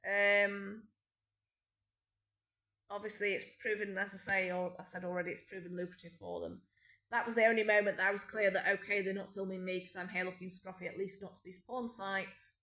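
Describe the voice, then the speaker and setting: A woman's voice: medium pitch, level tone, fairly quick, bright, forceful tone. A middle-aged British woman in an unscripted monologue at home, rambling and aggrieved, with many restarts and ums. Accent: British English. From Britain. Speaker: vlogger. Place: home indoors. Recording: echoey room.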